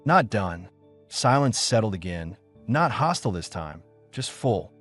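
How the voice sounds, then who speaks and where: Low-pitched man narrating, lively intonation. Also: normal speed, soft background music. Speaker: audiobook narrator. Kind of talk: scripted dramatic narration of a sci-fi story.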